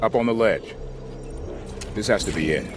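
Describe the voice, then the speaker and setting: A man's voice: lowish voice, normal speed, noisy background, lightly acted. A man playing a stoic, focused soldier who leads his squad with terse orders in a video game. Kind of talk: dramatic video game acting. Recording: loud music over the voice.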